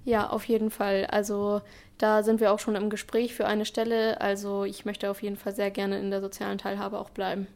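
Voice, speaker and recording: A woman's voice: mid-range voice, completely flat delivery, fairly quick, darkish tone. A woman of twenty-one, a trainee, answering interview questions earnestly and at length, with some fillers. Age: 20s. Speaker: trainee in public administration.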